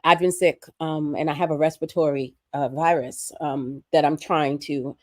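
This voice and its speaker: A hoarse woman, sick with a respiratory virus, making earnest, emphatic points as a guest on a livestream conversation. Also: low-pitched, steady tone, moderate pace, a little mellow, no room sound, clean studio sound. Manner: earnest, emphatic, building points through repetition. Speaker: guest commentator.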